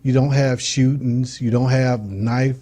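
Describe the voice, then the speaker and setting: Mellow-voiced man. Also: medium pitch, steady tone, measured pace. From Detroit, USA. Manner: informal, earnest, explains with everyday examples. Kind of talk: casual talk-show conversation.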